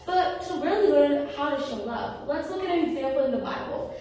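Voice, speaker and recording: A woman's voice: high voice, expressive, brisk, mellow. A woman presenting a children's Bible lesson to a live audience as a puppeteer. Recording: very echoey room, distant, faint noise.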